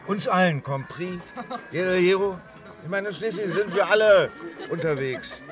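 A man's voice: high voice, unhurried, music in the background. An actor playing a fervent squat-dweller in a radio drama, emphatic and rhetorical as he rouses his comrades. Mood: fervent, urging.

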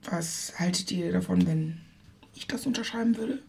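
An actress in a naturalistic radio play, playing an overwrought, remorseful village woman with a husky, breathy voice. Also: lowish voice, moderate pace, a little mellow, heavily acted. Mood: subdued.

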